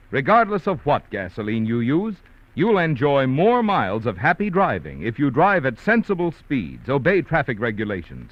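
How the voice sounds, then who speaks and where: A man's voice: on the high side, muffled recording. A man reading a 1950s radio commercial as a sponsor announcer, in a polished, salesy, upbeat manner. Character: smooth male sponsor announcer.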